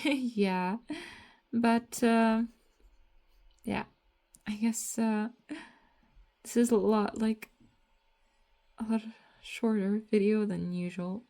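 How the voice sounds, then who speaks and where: A young woman from Latvia with a Latvian accent, vlogging in a slow, halting ramble full of fillers and soft laughs, tired after a night shift. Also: mid-range voice. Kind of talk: rambling casual monologue.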